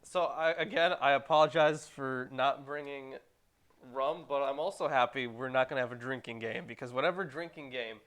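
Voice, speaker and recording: A man speaks in an average-pitched voice. His delivery is informal, like a co-host chatting and adding comments among colleagues. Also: moderate pace, fairly bright tone. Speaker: show co-host. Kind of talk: casual chat, commentary.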